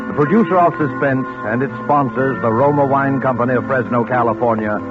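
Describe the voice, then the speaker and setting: An actor playing a mysterious, solemn host who formally introduces thrillers on 1940s radio, in an old broadcast recording. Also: male voice, mid-range voice, a little fast, music in the background, close to an everyday voice. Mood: solemn, suspenseful. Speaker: host of a suspense anthology. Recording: tinny, band-limited 1940s radio recording.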